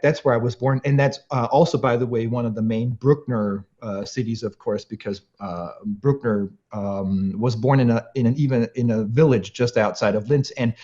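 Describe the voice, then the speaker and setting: A man in his late thirties, born and raised in Austria, talks through his answers in an interview with a mellow, warm tone. He keeps pausing on "uh" and "um" and restarting his sentences, and laughs lightly now and then. The recording sounds somewhat dull and lo-fi. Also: mid-range voice. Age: late 30s. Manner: thoughtful, many fillers and restarts, laughs often. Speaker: guest harpsichordist. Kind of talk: interview conversation.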